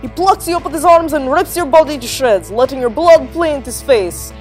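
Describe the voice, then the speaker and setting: A woman doing a hushed, menacing storyteller. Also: mid-range voice, expressive, moderate pace, faint music behind, lightly acted. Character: huge man telling a creepy ghost story.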